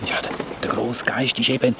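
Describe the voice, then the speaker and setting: A man's voice: medium pitch, flat delivery, normal speed, noisy background, lightly acted. A man with a Swiss German accent voicing a young adventurer who rambles and repeats words as he explains things. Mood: explaining. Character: Sämi, a curious young cart-riding adventurer.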